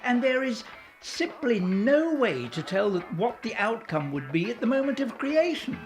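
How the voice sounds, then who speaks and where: A woman playing a philosophical, resolute female scientist in a radio play, with a measured, lecturing manner. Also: on the high side, big pitch swings, rough recording.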